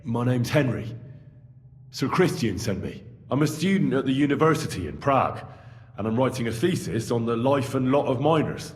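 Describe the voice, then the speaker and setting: An actor playing an earnest, kindly and courteous young man in a video game, speaking gently and sincerely. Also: mid-range voice, expressive, moderate pace, natural voice. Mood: inquiring. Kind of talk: video game dialogue acting. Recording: long cavernous reverb.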